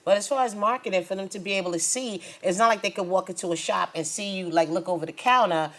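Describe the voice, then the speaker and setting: A woman's voice: mid-range voice, quick pace, fairly bright tone. A woman hosting a conversational interview, asking questions and responding in an encouraging, affirming way. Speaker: show host, interviewer.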